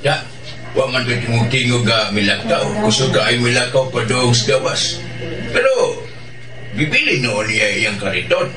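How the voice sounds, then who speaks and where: A man's voice: medium pitch, big pitch swings, normal speed, music in the background, close to an everyday voice. Distant, echoey flustered Filipino guard played by a man. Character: flustered, chatty male hospital security guard. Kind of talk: Filipino radio drama acting.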